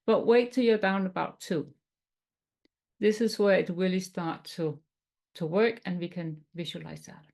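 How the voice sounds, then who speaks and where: A woman from Denmark with a Danish accent, teaching tapping in a webinar in a gentle, explanatory way with some non-native phrasing. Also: fairly low pitch, measured pace, gravelly. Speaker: tapping coach.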